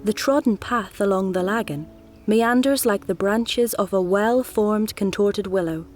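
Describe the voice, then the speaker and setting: A woman reading a literary story aloud in a measured, deliberate way, as a scripted narration. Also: medium pitch, darkish tone. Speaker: actor reading a nominated story.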